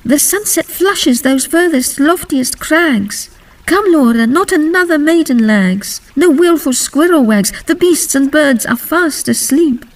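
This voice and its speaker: A woman performs an earnest young maiden from a Victorian fairy-tale poem. Her voice is high and emphatic, with a theatrical storybook delivery. She sounds slightly distant from the microphone. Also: animated, a little slow. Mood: urging. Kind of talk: dramatic poetry reading.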